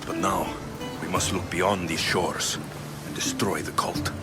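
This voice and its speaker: A battle-hardened young Greek sea captain with a low voice. He is terse, grave and determined, in game cutscene style, under very loud music. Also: steady tone, a little slow. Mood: grim, determined. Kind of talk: dramatic video game cutscene acting.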